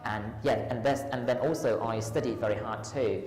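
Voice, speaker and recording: A Thai-accented woman with a strikingly deep voice answers interview questions, pausing on ums and starting her sentences over. She sounds as if she is in a large room with a long, ringing echo. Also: darkish tone, soft background music. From Thailand. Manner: hesitant, many ums and restarts. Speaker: lecturer and tutor, runs a tutoring school. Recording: echoey hall with long reverb.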